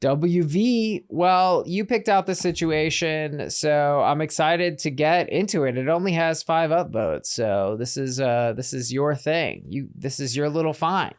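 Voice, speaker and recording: A man's voice: a little high, unhurried, few pauses, smooth. A man hosting a call-in podcast, joking with his guest and laughing easily, then reading a post aloud. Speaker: podcast host.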